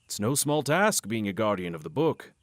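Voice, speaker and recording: An earnest, fatherly guardian of a magic book played by a man in a fantasy audio drama. His pitch moves in a lively way, and he explains with measured care and small hesitations, recorded dry and close. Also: no room sound. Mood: solemn.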